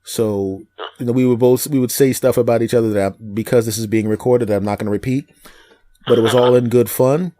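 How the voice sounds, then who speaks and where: A man in his fifties from Philadelphia, chatting as a comedian guest and telling animated, joking stories. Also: medium pitch, no echo. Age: 50s. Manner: animated joking storyteller, quick asides. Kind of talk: casual chat.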